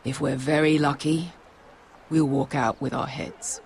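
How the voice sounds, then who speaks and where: Casting: battle-worn, cynical mercenary guide for a game, creaky blunt voice over noisy outdoor ambience. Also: male voice, on the high side, little pitch movement, a little slow. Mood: grim warning. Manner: blunt, grim warnings. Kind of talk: video game acting. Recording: clear outdoor background noise.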